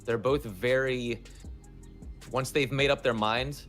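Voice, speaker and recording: A man speaking casually as an interview guest, telling stories in an animated, self-deprecating way. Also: medium pitch, slow, faint music behind. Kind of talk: casual chat.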